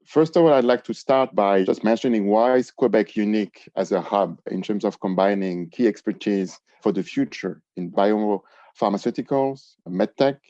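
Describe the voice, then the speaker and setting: A man advising on business development, giving measured, informative answers in a podcast interview. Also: on the low side, warm tone, no echo, dull audio.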